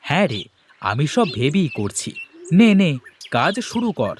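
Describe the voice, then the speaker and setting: A man performs a boastful village husband with big plans in a children's cartoon. His delivery is theatrical and emphatic, with a very animated melody. Some background noise and birdsong sit behind him. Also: on the high side, unhurried. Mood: bossy. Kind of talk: Bengali cartoon voice acting.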